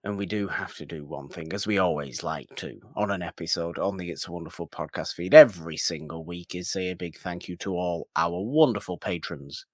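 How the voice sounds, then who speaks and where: A man's voice: deep voice, a little fast, few pauses, no echo, clean studio sound. A man co-hosting a podcast in casual film discussion, often repeating his words for emphasis as he goes. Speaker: podcast co-host.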